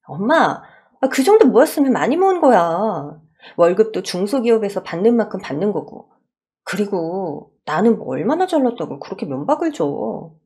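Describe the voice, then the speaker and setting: A feisty, plain-spoken young woman, acted out by a female reader for a radio story drama. She sounds assertive and pressing, with a husky edge and a lively, swinging pitch. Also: moderate pace. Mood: indignant, firm.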